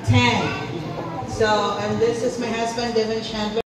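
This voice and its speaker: A woman introducing her family to a gathering, soft and quiet-spoken. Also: on the high side, level tone, measured pace. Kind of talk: casual introduction. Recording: echoey hall from far off with crowd.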